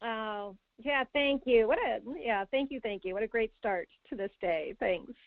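Woman, narrow tinny phone audio, dry, higher pitch, effusive caller, emphatic casual sharing. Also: normal speed, no echo. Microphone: telephone. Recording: thin, narrow phone-line sound.

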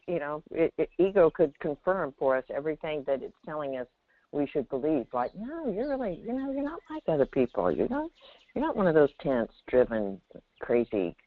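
Call-in host, highly animated intonation, reflective conversational teaching, thin phone-line sound, dry. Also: no echo. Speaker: host guiding a call-in discussion. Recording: narrow tinny phone line. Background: birdsong from the caller's line.

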